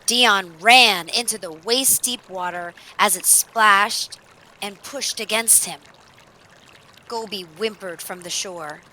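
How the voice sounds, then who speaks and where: Woman narrator, children's read-aloud, slow pace, bright forward tone, expressive emphasis, slight background noise. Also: mid-range voice, low-quality recording. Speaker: storybook narrator.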